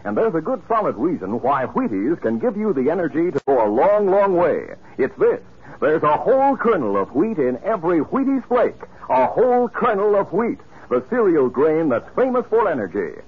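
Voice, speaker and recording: A man's voice: a little high, very animated, normal speed, breathy edge, full character voice. A man playing a grand western radio announcer, declamatory and emphatic, in 1950s style. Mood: upbeat pitching. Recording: tinny, noisy 1950s radio recording.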